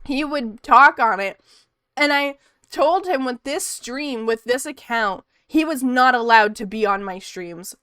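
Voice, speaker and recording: A woman's voice: fairly high pitch, animated, a little slow, sharp tone, clean tone, dry recording. Female streamer, casual rambling chat, soothing voice, dry close-mic sound. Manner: rambling and chatty, laughs often. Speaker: art streamer.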